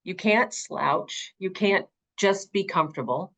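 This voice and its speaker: A woman's voice: fairly low pitch, expressive, measured pace, creaky, fairly bright tone. A middle-aged woman giving conversational, emphatic interview answers as an audiobook narrator.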